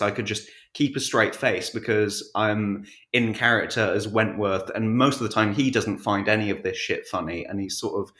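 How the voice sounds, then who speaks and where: A man with a fairly low voice talks in a roomy, echoing space. He is a casual podcast guest, halting and interrupting himself as he explains. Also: fairly bright tone. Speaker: podcast guest, audiobook narrator. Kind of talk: casual chat.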